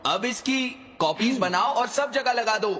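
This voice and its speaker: Pushy kid bully in a cartoon, high voice, always ordering ppl around. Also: male voice, measured pace, heavily acted. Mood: bossy, determined. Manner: commanding, menacing. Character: bossy, threatening boy bully, hot-tempered. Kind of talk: Hindi cartoon dub acting. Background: loud music.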